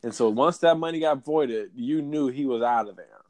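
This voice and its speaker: A man's voice: mid-range voice, moderate pace, a little mellow, no room sound. Laid-back male co-host, loose chatty delivery, dry close mic. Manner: laid-back, repeats phrases for emphasis. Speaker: podcast co-host. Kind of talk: casual sports podcast chat.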